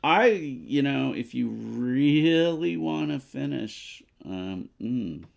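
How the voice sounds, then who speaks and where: A man's voice: mid-range voice, lively intonation, slow pace, gravelly. A man co-hosting a board game playthrough, chatting casually as he plays. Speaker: board game player and co-host.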